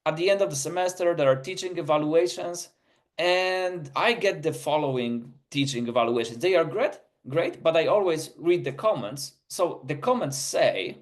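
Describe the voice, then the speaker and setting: A middle-aged man, English his third language, speaking with a non-native accent and giving an earnest, story-driven webinar presentation with frequent restarts. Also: a little high. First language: not English; English is his third language.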